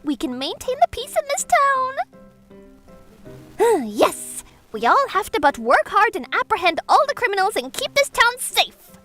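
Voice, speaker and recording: Casting: scheming cartoon schoolteacher, extremely high voice, swooping and gushing, music underneath. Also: female voice, very animated, a little slow, fairly bright tone, heavily acted. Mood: proud, gushing. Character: scheming, self-righteous woman teacher, greedy and excitable. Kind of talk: manga dub voice acting.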